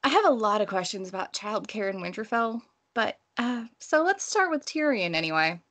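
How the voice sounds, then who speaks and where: A woman's voice: mid-range voice, big pitch swings, moderate pace, fairly bright tone. A woman hosting a podcast, talking casually and informally about books. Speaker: podcast host. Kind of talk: casual book discussion.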